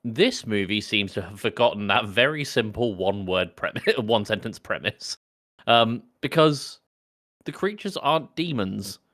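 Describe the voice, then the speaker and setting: Low bright-voiced man, wry podcast co-host. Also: animated, moderate pace. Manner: wry, opinionated, sweary. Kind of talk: casual explanatory film chat.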